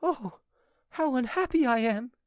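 High female character acted by a narrator, desperate, imploring, frightened, theatrical storybook drama, dry close sound. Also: lively intonation, normal speed, darkish tone, no echo. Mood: frightened pleading. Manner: imploring, breathless urgency, theatrical. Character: desperate pleading young Frenchwoman, frightened and tearful.

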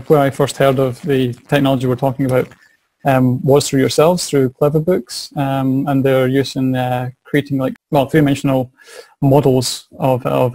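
Scottish young man, teacher, mellow voice, dry sound, eager and repetitive, webcam call. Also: medium pitch, no echo, poor audio. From Scotland. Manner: eager, repeats words as he thinks. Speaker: science and maths teacher. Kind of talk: casual interview answers. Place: small home office. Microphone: video call webcam.

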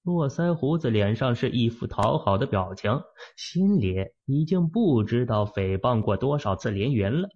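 A man's voice: moderate pace, few pauses, muffled recording. A man narrating a fantasy novel as an audiobook, telling the story expressively. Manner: expressive storytelling with lively pitch swings.

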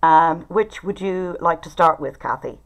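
A middle-aged woman hosts an online gathering in a chatty, apologetic way. Her voice sits a little low and she takes her time, speaking into a computer on a video call at home. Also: unhurried, creaky. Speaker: host of online writers' event. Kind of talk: informal event hosting. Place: living room at home. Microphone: video call, computer at desk distance.

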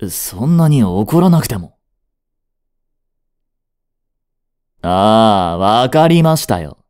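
A man's voice: medium pitch, dark tone. A man voicing a devoted, stern young butler. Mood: placating. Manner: polite formal speech, sighing, earnest. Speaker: voice actor. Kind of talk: Japanese voice drama acting.

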